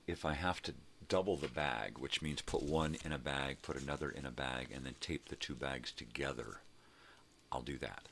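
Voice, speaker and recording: A man's voice: very deep voice, a bit bright, a little hiss or hum. An older man rambling casually and unhurriedly as he hosts a morning vlog, repeating words for emphasis. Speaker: host of a daily morning vlog.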